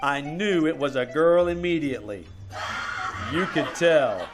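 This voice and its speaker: A man's voice: a little high, animated, measured pace, faint music behind. A man playing a wry, wisecracking private detective, dryly narrating and talking in an old-time radio comedy. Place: live small-town theater stage.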